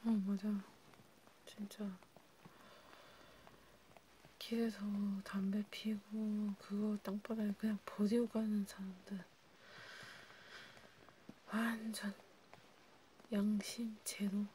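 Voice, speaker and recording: A woman whispering in a breathy, hushed, intimate way, chatting casually as in an ASMR roleplay. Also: medium pitch, takes it slowly, pauses often. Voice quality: breathy, mostly unvoiced whisper. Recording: steady background noise.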